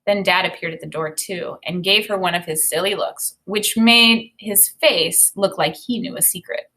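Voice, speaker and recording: A woman teacher reading a children's novel aloud in an expressive way, with informal, explanatory think-aloud comments. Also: on the low side, normal speed.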